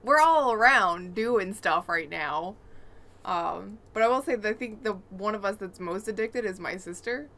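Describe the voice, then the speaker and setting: A woman co-hosts a gaming podcast in a casual, conversational style. Her voice is bright and forward, sitting at an ordinary pitch for a woman. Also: lively intonation, moderate pace. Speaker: podcast co-host.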